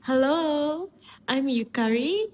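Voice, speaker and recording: Woman, late 20s, from Japan, podcast guest, mellow warm voice, calm, measured. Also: high voice. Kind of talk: casual chat.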